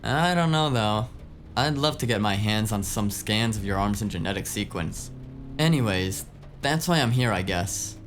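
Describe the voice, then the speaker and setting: A man's voice: lowish voice, lively intonation, normal speed, faint music behind, close to an everyday voice. A man playing a wisecracking, streetwise hacker sidekick in a cyberpunk audio drama, talking in a casual, slangy, flippant way. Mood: teasing, brisk.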